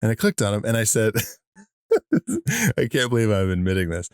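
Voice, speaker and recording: A man's voice: on the low side, huge pitch swings, normal speed, dry recording, studio quality. A man co-hosting a podcast, telling stories in a rambling way, laughing as he talks. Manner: rambling with many fillers and restarts, laughs while telling stories. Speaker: podcast co-host. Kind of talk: casual chat.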